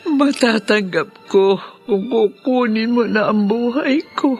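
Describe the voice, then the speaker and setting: A woman's voice: a little high, a little mellow. An actress playing a frail, sorrowful older mother pleading prayerfully in a Filipino radio drama. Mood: tearful pleading. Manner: prayerful, pleading, drawn-out delivery. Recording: dramatic music behind the voice.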